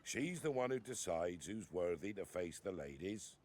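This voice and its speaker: Deep-voiced rustic villager. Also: male voice, sing-song, normal speed, heavy background noise. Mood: earnest. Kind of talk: video game character dialogue.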